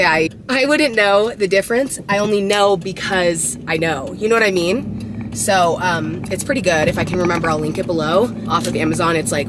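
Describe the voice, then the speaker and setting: Casting: woman in her twenties, raspy, low-ish voice, chatty vlogger in a moving car with road noise. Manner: rambling, upbeat, lots of "like". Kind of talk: casual chatty vlog talk to camera. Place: inside a car while driving. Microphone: camera mounted on the dashboard.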